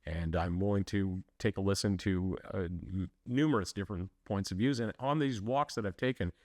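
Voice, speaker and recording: A man's voice: deep voice, moderate pace, a little mellow, no echo, clean studio sound. A man running for local council, answering interview questions earnestly, with frequent fillers and restarts. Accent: Canadian English. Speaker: municipal election candidate.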